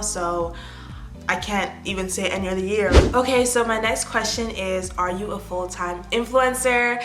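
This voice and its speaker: A young American woman in her twenties chatting casually to the camera as an influencer, answering fan questions with animated bursts of excitement. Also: medium pitch, roomy sound. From United States. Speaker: lifestyle influencer. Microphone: phone or tablet camera.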